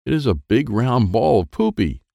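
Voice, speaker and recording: Man acting a small boy narrator, storybook, wide-eyed, excitable and wistful, very lively intonation, gravelly creak. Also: mid-range voice, moderate pace, no echo, studio quality, voice pushed up. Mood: wide-eyed wonder. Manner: simple, wide-eyed, childlike phrasing. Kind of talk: first-person present-tense children's storybook narration.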